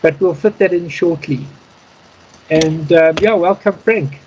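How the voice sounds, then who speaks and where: A man's voice: fairly high pitch, unhurried, a little mellow, muffled recording, a little hiss or hum. A man giving informal, methodical instruction in an online radio practice class. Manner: methodical, explanatory, spelling in phonetic alphabet. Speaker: Morse code instructor. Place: online video call.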